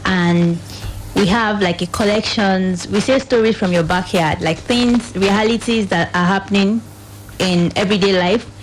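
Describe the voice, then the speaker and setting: A woman's voice: medium pitch, measured pace, slight background noise, low-quality recording. A Nigerian woman, a program officer speaking as a studio guest, explaining things in a radio interview in loosely pieced-together phrases.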